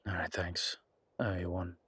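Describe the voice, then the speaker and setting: A man playing a commanding male incubus demon investigator in an audio roleplay, terse and colloquial, with a low, husky voice. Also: monotone, moderate pace, bright, forceful tone, no echo, heavily acted. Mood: grim, insistent. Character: gruff, urgent male incubus demon investigator, protective, wryly friendly. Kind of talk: immersive audio roleplay acting.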